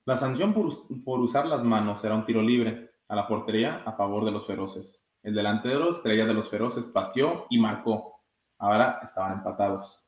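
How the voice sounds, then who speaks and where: A man's voice: lowish voice, moderate pace. A man in his twenties reading a children's story aloud, calling out the characters' exclamations. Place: indoor room with blinds, sitting. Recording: roomy echo.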